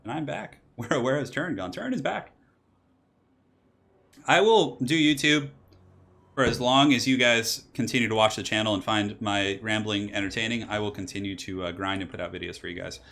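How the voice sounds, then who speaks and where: A young man casting a strategy game match solo, casual and rambling, his voice slightly raspy from allergies. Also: on the low side, normal speed, fairly bright tone. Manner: rambling, casual, laughs and exclaims at plays. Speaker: esports caster and channel host.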